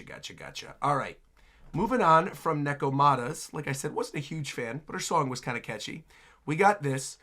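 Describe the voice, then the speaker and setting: A man giving casual, excitable reaction commentary as a streamer, with slangy exclamations. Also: fairly high pitch. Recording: trailer music behind the voice.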